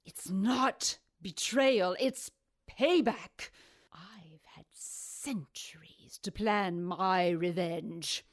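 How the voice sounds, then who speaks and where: An ancient, vengeful temptress. She draws her words out very slowly in a sultry, gloating manner, often slipping into breathy whispers. The style is supernatural radio drama. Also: fairly low pitch. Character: vengeful, seductive ancient woman, former monster, gloating over rival. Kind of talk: audio drama acting.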